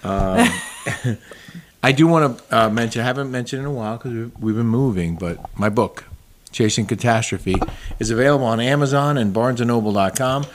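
A middle-aged man co-hosting a relaxed talk show, chatting easily and laughing often between plugs. His voice sits at an ordinary male pitch. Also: normal speed, a little hiss or hum. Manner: chatty, laughs often, reads viewer comments aloud. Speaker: show host. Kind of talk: casual chat and plugs.

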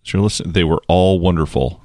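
Deep-pitched man hosting a casual chat. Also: fairly even intonation, brisk, dark tone, no echo. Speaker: podcast host.

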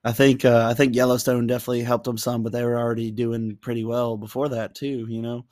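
A man's voice: mid-range voice, little pitch movement, fast, darkish tone, no echo, clean studio sound. A man hosting a casual interview, chatty, laughing often and filling his talk with "um" and "like". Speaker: interview host.

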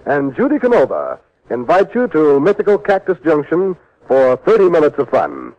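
A man's voice: a little high, huge pitch swings, moderate pace. A man announcing in dramatic, polished 1950s radio style, with a theatrical showman's delivery of scripted promotion. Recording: muffled vintage radio broadcast.

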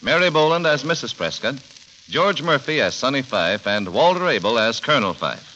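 A man's voice: mid-range voice, big pitch swings, moderate pace, heavy background noise. A man announcing a 1940s radio drama in a formal, theatrical, scripted style. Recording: muffled vintage radio recording. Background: music.

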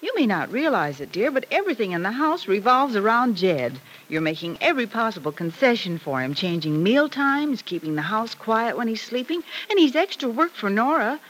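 A woman's voice: on the high side, big pitch swings, normal speed, few pauses, fairly bright tone. An actress playing a weary, anxious middle-aged American mother in a vintage radio soap, fretful and hesitant in her delivery. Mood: anxious, protesting. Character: weary, anxious, self-sacrificing mother of grown daughter. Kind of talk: 1950s radio soap acting. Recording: noisy old radio transcription.

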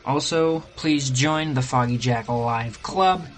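Mid-pitched male podcast host. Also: slow pace. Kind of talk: podcast outro announcement.

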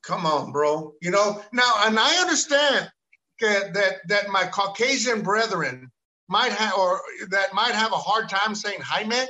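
High, bright-voiced man. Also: unhurried. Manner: animated, emphatic, mixes Spanish into English, lots of "you know". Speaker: podcast host. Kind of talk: casual podcast chat.

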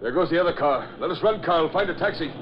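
An actor playing a cold Nazi spy chief in a 1940s radio drama, with a German accent and a clipped, formal, commanding manner. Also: male voice, a little high, expressive, fast talker, very noisy, natural voice. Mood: urgent command. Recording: dull old radio recording.

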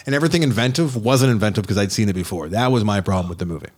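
A man's voice: on the low side, racing, a little mellow. A man co-hosting a casual film podcast, rambling and self-deprecating as he reviews movies.

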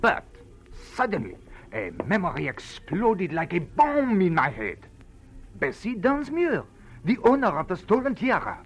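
A man's voice: high voice, wildly swooping pitch, measured pace, husky, heavily acted. An actor playing a fussy, officious Frenchman with a French accent, theatrical and flustered, in 1940s radio style. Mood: dramatic, excited. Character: fussy, excitable Frenchman, officious and eager to help. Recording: old radio drama with music behind.

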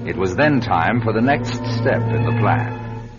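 A man giving measured, scripted dramatic narration in a vintage radio drama. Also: lowish voice, lively intonation, highs cut off. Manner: measured, storytelling. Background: music.

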